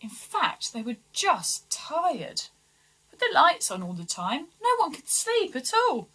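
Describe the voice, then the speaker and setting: A woman reading a children's storybook aloud with expressive, deliberate, dramatic emphasis. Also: high-pitched, low-quality recording. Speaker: story reader for schoolchildren.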